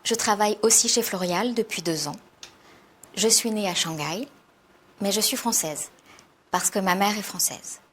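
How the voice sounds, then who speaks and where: An actress playing a 26-year-old office worker introducing herself. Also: female voice, medium pitch, unhurried, pauses often, a bit bright. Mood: friendly. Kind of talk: scripted self-introduction.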